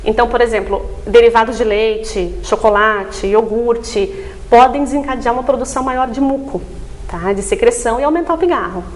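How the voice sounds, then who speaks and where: Woman, slightly high voice, didactic, interview guest, frequent tag questions. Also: moderate pace, low-quality recording. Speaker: voice therapist guest. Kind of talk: explanatory TV interview.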